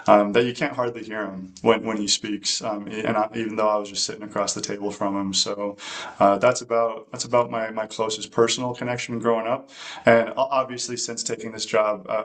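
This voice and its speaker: A man speaking on a webinar panel, hesitant with many fillers and restarts. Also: fairly low pitch. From United States.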